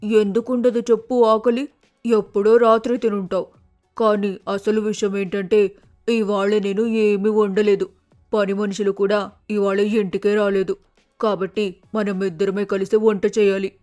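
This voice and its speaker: Woman playing a strict cartoon mother bird, flat intonation, mid pitch, nagging, practical, music underneath. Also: measured pace, natural voice. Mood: firm. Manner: scolding, nagging, matter-of-fact. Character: stern, practical mother bird of a lazy daughter. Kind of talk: cartoon moral story voice acting.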